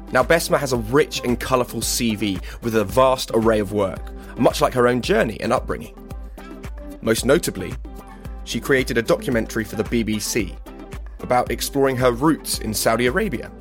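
A man hosting a podcast interview, hesitant, with many fillers and restarts. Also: mid-range voice. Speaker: podcast host.

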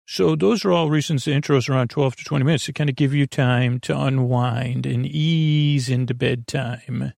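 A man hosting a bedtime podcast in a rambling monologue, with a gravelly voice and a bumbling way of circling around his point. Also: medium pitch, fairly even intonation, moderate pace, few pauses, a little mellow, no echo, clean studio sound. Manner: meandering, bumbling, trailing off and circling back. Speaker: podcast host.

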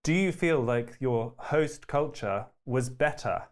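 A man's voice: medium pitch, lively intonation, slow. A man hosting an interview, asking long, earnest questions and rephrasing as he goes. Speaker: interview host.

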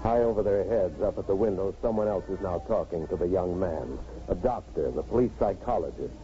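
An actor playing a plain-spoken, modest city cop who narrates in a 1950s radio drama. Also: male voice, sits low, a little fast, dark tone, lightly acted. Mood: tense narrating. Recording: noisy, muffled old radio broadcast.

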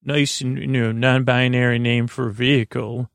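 Creaky-voiced man rambling hesitantly. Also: mid-range voice, flat delivery, no room sound. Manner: hesitant, halting, drifting into tangents. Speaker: host of a sleep podcast. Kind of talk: rambling, meandering bedtime monologue.

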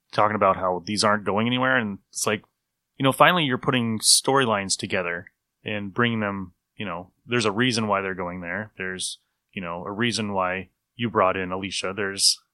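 A slightly low-voiced man with a bright, forceful tone, recorded close and dry. He talks like a podcast co-host in a casual review chat, working through his points with geeky animation and repeating phrases to press them home. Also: moderate pace, no echo. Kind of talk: casual review chat about old radio episodes.

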